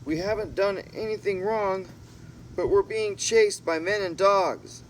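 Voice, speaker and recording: A man's voice: high voice, a little slow, far from the mic, pitched above the performer's own voice. A man voicing a desperate fleeing conman in a storybook, crying out and begging for rescue. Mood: desperate pleading. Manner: pleading, crying out. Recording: noisy home recording with steady hum.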